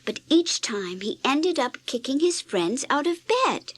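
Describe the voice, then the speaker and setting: A woman reading a scripted children's read-along story in an expressive, deliberate storytelling manner. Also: high-pitched. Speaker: storybook narrator.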